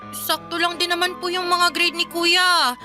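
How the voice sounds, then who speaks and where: High-voiced, meek Filipino schoolgirl. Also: flat delivery, a little slow, bright, forceful tone, soft background music, heavily acted. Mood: hurt, protesting. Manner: polite, hesitant, pleading. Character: meek, apologetic teenage Filipino schoolgirl, dutiful daughter. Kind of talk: radio drama acting.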